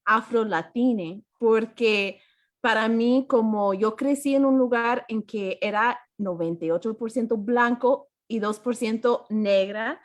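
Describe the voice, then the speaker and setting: A woman's voice: on the high side, measured pace. Encouraging US-raised woman host. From United States. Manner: warm, encouraging, mixes Spanish with English. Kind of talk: casual conversation.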